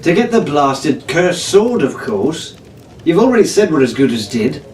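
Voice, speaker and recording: A man's voice: fairly high pitch, very animated, moderate pace, a little mellow, far from the mic. A man voicing a cocky, swaggering teenage boy pirate in a glib, theatrical manner for a storybook. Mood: exasperated. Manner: glib, exclamatory, stuttering when flustered. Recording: roomy, off-mic, faint noise.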